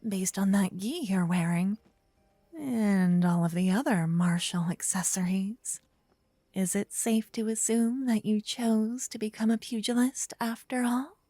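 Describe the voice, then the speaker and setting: A woman playing an earnest, bashful young female paladin in an affectionate fantasy ASMR roleplay, speaking softly and gently to the listener. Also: mid-range voice, steady tone, dry recording, natural voice. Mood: friendly, curious. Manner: soft, breathy, gently flustered, slightly halting.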